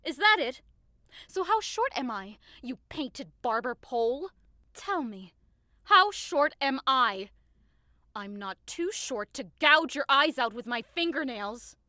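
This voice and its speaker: A woman's voice: sits high, expressive, slow, bright, forceful tone, no echo, studio quality, heavily acted. A woman playing a short, hot-tempered young woman hurt in love, melodramatic and indignant, in a modernized Shakespeare audio drama. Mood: furious, accusing. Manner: melodramatic, indignant, outbursts swinging to wounded pleading.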